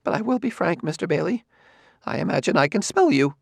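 Male narrator putting on a fussy, squeamish older scholar; high, wavering, gravelly edges, dry close sound. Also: sing-song, a little fast, no room sound, exaggerated voice. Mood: uneasy. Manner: high, shrinking, hesitant delivery. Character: nervous aging male sociologist, fussy and squeamish.

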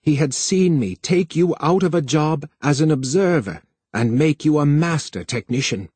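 A man reading an audiobook voices a cranky, whimsical old council elder with a husky voice and an insinuating manner. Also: on the high side, expressive, moderate pace, no room sound, pitched above the performer's own voice. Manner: sharp, insinuating, commanding.

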